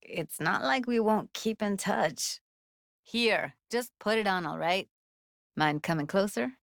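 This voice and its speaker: A woman's voice: mid-range voice, expressive, takes it slowly, gravelly, no echo, clean studio sound. A woman playing a wry, tender young streetwise techie in a video game, with casual, clipped, slangy delivery. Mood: brisk teasing. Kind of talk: video game character dialogue.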